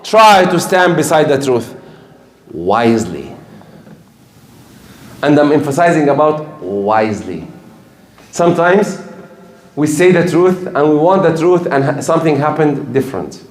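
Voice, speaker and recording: A man's voice: fairly high pitch, very animated, a little slow, pauses often. An older man preaching a sermon in English, emphatic and repeating his key points. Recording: echoey room.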